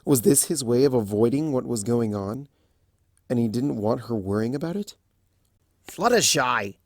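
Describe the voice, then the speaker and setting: A man's voice: medium pitch, normal speed, dark tone, dry recording, clean studio sound. A man giving a scripted narration of a story as a fan fiction reader.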